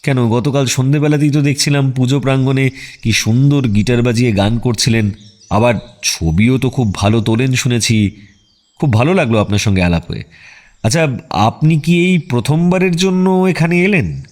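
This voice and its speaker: Man playing a courteous, probing detective, mid-pitched. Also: normal speed, lightly acted. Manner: polite, probing, deadpan teasing. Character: wry, self-assured male detective, courteous but probing. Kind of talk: radio detective drama acting.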